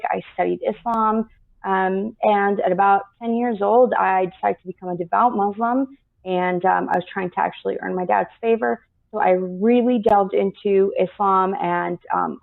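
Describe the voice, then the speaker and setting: A woman from the United States, a guest activist on a video call, telling her story in an earnest, steady way with many pauses. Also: mid-range voice, gravelly. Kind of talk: personal account in an interview. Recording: tinny phone-like line.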